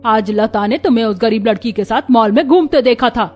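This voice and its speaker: A woman acts out a haughty rich mother from a cartoon story. Her voice is fairly high, with a scolding, contemptuous manner, over music. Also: fairly quick, a little mellow, close to an everyday voice. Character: haughty rich mother, scornful of poor people.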